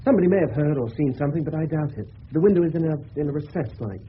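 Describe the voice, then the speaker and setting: An actor playing a respectful, plain-spoken police subordinate in a 1970s radio drama, with an English accent and a slightly hesitant manner. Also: male voice, a little high, lively intonation, very fast, lightly acted. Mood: dutiful. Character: deferential, matter-of-fact English police subordinate reporting to superior. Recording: thin narrow-band old radio recording, hiss.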